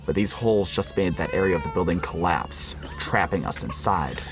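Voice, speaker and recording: Man playing low-voiced, haunted siege survivor. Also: expressive, moderate pace. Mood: somber. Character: somber, haunted male survivor recounting the siege. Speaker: narrator. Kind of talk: scripted radio play narration.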